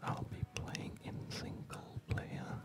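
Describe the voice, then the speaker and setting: Casting: ASMR gaming host, fully whispered, slow and hesitant, softly rambling delivery. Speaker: host playing a strategy game. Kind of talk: ASMR whispered game commentary.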